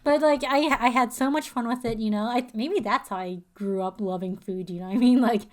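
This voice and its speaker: A young woman from Arizona, raised speaking Chinese first, co-hosts a podcast in loose, animated chat. She teases and fills her talk with "like", her voice sitting a bit higher than most. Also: fairly quick. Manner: animated, teasing, lots of "like". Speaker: podcast co-host. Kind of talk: casual chat.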